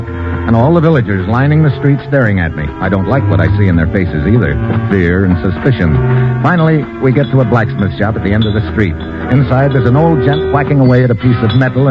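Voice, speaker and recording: A man's voice: medium pitch, expressive, quick pace, close to an everyday voice. An actor playing a tough, wisecracking American investigator who narrates his own adventure in old radio drama style. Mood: wary. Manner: wry, hard-boiled, clipped present-tense storytelling. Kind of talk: 1950s radio adventure acting. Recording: muffled old radio drama, music behind.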